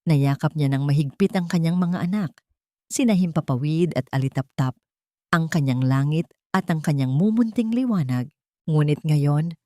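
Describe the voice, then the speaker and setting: Female story narrator, lower register, dry close sound, dramatic scripted delivery. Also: dark tone, no echo.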